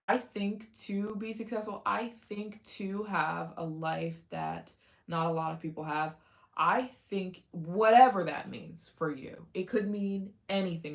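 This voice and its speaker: Woman livestreaming, slow easy chat, roomy echo, talks to chat and thanks folks. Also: sits low. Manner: chatty, greets viewers and thanks donors; motivational, talks about risk taking. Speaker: livestream host. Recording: echoey room.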